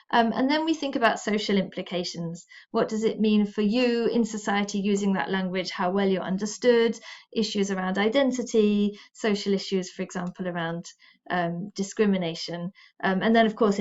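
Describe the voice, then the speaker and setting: A woman from the United Kingdom, a university lecturer explaining her research in a measured, explanatory way. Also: medium pitch, darkish tone. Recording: slightly dull recording.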